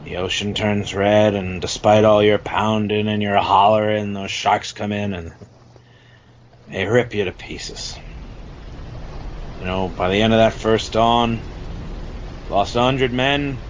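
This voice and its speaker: A man's voice: lowish voice, fairly even intonation, takes it slowly, long pauses. A man playing a weathered, hard-drinking old shark hunter and haunted war veteran in an amateur audio adaptation of a film scene, with a salty, folksy storytelling manner. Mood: grim, haunted. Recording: dull, noisy lo-fi recording.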